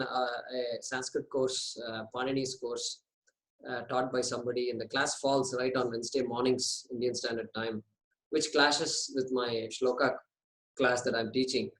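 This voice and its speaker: A man running an online course orientation from home, talking haltingly with many pauses and restarts over a video call. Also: medium pitch, steady tone, fairly bright tone, low-quality recording. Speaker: course organizer and host. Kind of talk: casual video call talk. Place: home in India.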